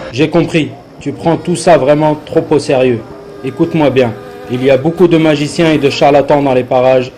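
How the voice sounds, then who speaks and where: A man's voice: fairly high pitch, fairly even intonation, moderate pace, darkish tone, slight background noise, natural voice. A man playing an arrogant, worldly rich man with a condescending, boastful manner in a religious cartoon dub. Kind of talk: dubbed religious cartoon acting.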